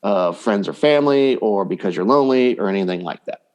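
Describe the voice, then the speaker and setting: A man's voice: on the low side, lively intonation, fairly quick, darkish tone. A man speaking as a dating coach guest in a conversational podcast interview, explaining points by voicing hypothetical quotes.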